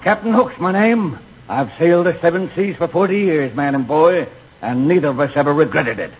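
An actor playing a salty, blustering old sea captain in a 1940s radio comedy. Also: male voice, a little high, expressive, brisk, husky. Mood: hearty, salty. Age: older. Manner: salty nautical talk, blustering. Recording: dull, noisy 1940s radio recording.

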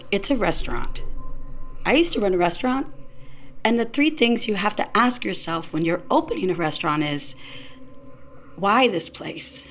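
A woman's voice: medium pitch, animated, moderate pace, long pauses, fairly bright tone, a little hiss or hum. An actress playing a skeptical, sardonic woman newcomer in audio fiction, blunt and questioning. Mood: probing.

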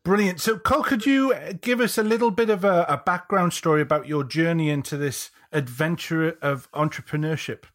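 A man hosting a business podcast, chatty and rambling, his voice a bit croaky from a cold. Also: on the high side, moderate pace, hardly any pauses, a bit bright. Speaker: podcast host. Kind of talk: casual podcast talk.

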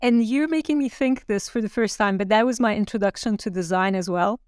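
A woman's voice: medium pitch, a little fast, darkish tone, clean tone, dry recording, clean studio sound. A woman from Turkey, talking thoughtfully as a designer guest in an interview. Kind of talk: conversational interview.

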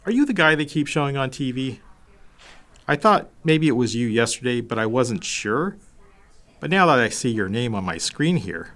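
Folksy, lively repair shop man played by an actor. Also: medium pitch, moderate pace. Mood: curious, sympathetic. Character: friendly, chatty stereo repair shop man. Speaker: supporting actor in an audio drama. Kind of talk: naturalistic audio drama acting.

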